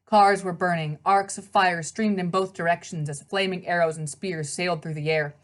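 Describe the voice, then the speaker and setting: Low-pitched woman reading a story aloud. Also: steady tone, moderate pace. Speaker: read-aloud narrator. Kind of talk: scripted storybook narration.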